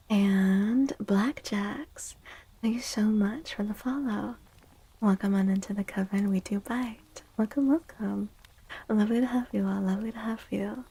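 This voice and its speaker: A woman hosting a soft-spoken ASMR tarot stream, talking gently and breathily, often near a whisper, with drawn-out delivery. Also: mid-range voice, steady tone, breathy edge. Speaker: livestream host giving tarot readings.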